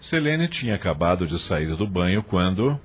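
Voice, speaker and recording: A man's voice: low-pitched, very animated, moderate pace, music in the background. A man reading scripted narration for a dramatized audio novel.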